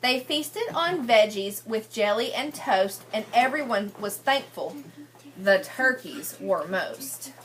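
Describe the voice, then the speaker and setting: A woman's voice: fairly high pitch, lively intonation, takes it slowly, slight background noise. A woman reading a picture book aloud as a teacher, prompting children with questions and checking they follow.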